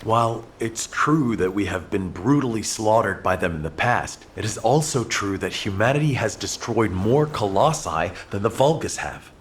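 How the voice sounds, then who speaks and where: A man playing an earnest, remorseful commander in a sci-fi video game cutscene, solemn and measured. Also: fairly low pitch, lively intonation, a little hiss or hum, lightly acted. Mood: grave, resolute.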